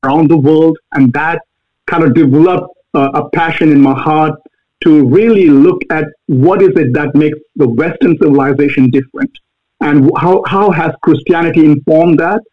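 A man with an Indian accent, a guest answering interview questions earnestly, pausing often on fillers. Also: fairly high pitch. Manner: earnest, halting with many fillers. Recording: tinny phone-like line.

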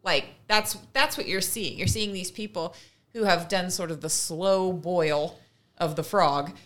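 A woman's voice: fairly low pitch, a little slow, fairly bright tone. Woman in casual conversational commentary. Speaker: podcast co-host.

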